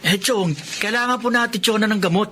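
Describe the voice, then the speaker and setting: Young filipino son in radio drama, polite but stubborn, high voice, bit noisy. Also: male voice, brisk, pushed and bright. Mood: wary, reasoning. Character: resentful, dutiful young son standing up to stepfather.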